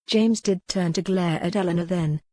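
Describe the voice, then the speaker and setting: A woman's voice: on the low side, normal speed, warm tone, no room sound. A woman reading scripted dramatic fiction as an audiobook narrator.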